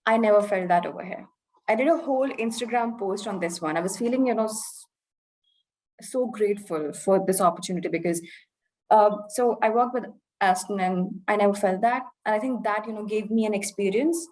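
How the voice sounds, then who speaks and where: A woman in her twenties from India, with an Indian English accent softened by life in the UK, speaking earnestly and reflectively as an interview guest, with fillers and restarts. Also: mid-range voice, a little fast, low-quality recording. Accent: Indian English, softened by years in the UK. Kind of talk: candid conversational interview.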